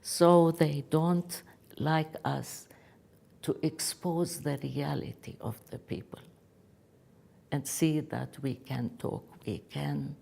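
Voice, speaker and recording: An older woman with a Palestinian Arabic accent, giving personal testimony in an earnest, emphatic and halting way. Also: low voice, fairly even intonation, creaky, warm tone. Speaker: activist speaker.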